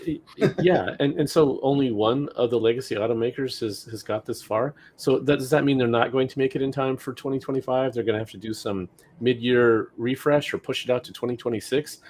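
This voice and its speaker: A man's voice: medium pitch, fairly quick, darkish tone. A man co-hosting a podcast, talking in a casual, conversational commentary style. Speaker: podcast co-host.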